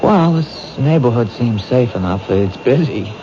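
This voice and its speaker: A man's voice: medium pitch, expressive, a little slow, warm tone, close to an everyday voice. A man playing an earnest police detective. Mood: warm, earnest. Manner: friendly, stammers when nervous. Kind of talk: 1970s radio drama acting. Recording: dull old radio broadcast with hiss.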